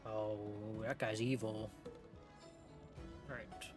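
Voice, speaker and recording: A man's voice: fairly low pitch, flat delivery, takes it slowly, over music. A man streaming a game with casual, blunt, slangy, joking reaction commentary.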